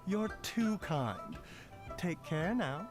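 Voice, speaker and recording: A man's voice: high-pitched, big pitch swings, drawn-out words, faint music behind, exaggerated voice. A man playing a courteous, knowing pharmacist doctor in a video game, with genteel, wordy, teasing politeness. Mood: polite, probing. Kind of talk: scripted game dialogue.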